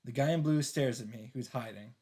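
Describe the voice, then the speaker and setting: A man's voice: medium pitch, fairly quick, darkish tone, breathy edge. A man reading visual novel narration aloud as a let's player, dropping in casual, wry asides. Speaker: video game let's player. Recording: music behind the voice.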